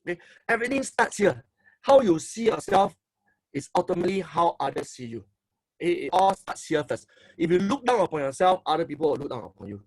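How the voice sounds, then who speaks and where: A middle-aged man with a Singaporean English accent gives an emphatic, fairly high-pitched seminar talk, recorded close and dry. He keeps repeating phrases and tagging lines with "okay?" as he coaches his listeners. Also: sing-song, fairly quick, a little mellow, breathy edge, no echo, rough recording. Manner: emphatic, repeats phrases, asks for likes and applause; tags sentences with "okay". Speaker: motivational speaker and coach.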